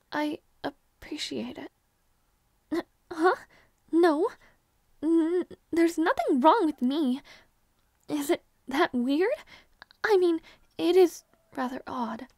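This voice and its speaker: A woman voicing a shy, flustered young princess who talks softly and hesitantly, often in a whisper and with stammers. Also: sits high, expressive, takes it slowly, long pauses, no room sound, clean studio sound, exaggerated voice. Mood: shy, nervous. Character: shy, flustered young princess waking beside her knight. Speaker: audio roleplay performer. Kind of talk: intimate audio roleplay.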